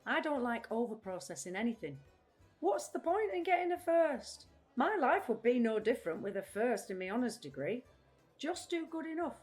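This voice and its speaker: A woman's voice: fairly high pitch, sing-song, moderate pace, a little mellow, faint music behind. A woman acting in an audio play as a restless, literal-minded, blunt adult woman with a matter-of-fact way of talking. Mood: blunt, unbothered. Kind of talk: audio drama dialogue.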